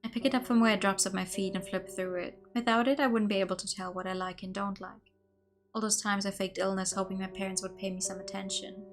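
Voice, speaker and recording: A woman streamer reads a visual novel aloud, expressive and given to laughing asides. Her voice sits at an ordinary middle pitch. Soft game music plays faintly behind her.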